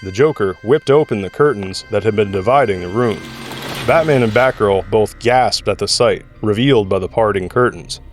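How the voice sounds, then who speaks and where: A male narrator with a fairly low voice delivers scripted dramatic narration. Music plays softly behind him, in the manner of an audio drama. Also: moderate pace. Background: music score.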